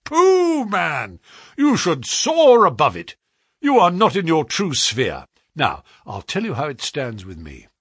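A man voicing a brisk, sharp-talking middle-aged male financier in a read-aloud story, speaking eagerly and quickly. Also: on the low side, wildly swooping pitch, slightly rough, dry recording, studio quality, heavily acted.

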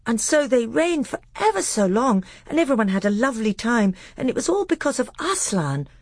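A woman's voice: fairly high pitch, very animated, fairly quick, slightly rough, no echo, studio quality, pitched above the performer's own voice, full character voice. A woman voicing a very young, innocent boy prince in a storybook, with an artless, childlike way of talking. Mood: eager, excited. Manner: artless, breathless childlike babbling. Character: very little boy prince, innocent, eager, dreamy. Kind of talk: acted storybook dialogue.

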